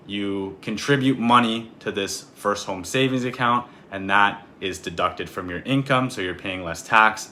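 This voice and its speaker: A man in his twenties from Canada, a real estate agent talking through an explainer video in a clear, steady way. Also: on the low side, slight background noise. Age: 20s.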